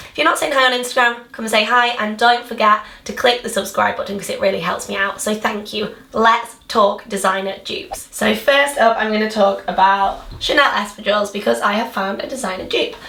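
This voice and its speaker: A young woman with a husky voice, chatting to camera about fashion in a bubbly way. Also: medium pitch, a bit bright, reverberant. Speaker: fashion vlogger. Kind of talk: casual chat to camera.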